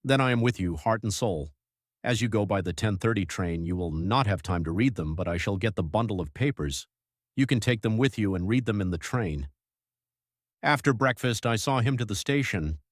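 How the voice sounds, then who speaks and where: A man acts out an earnest young husband narrating his journal for an audiobook. His voice is on the low side and his delivery is measured and literary. The recording is very dry. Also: lively intonation, no room sound. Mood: resolute.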